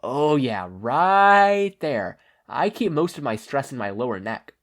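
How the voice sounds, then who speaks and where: A man voicing a cheeky, curious teenage boy hero in an audiobook, playful and excitable. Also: medium pitch, slow pace, pitched above the performer's own voice. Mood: playful curiosity.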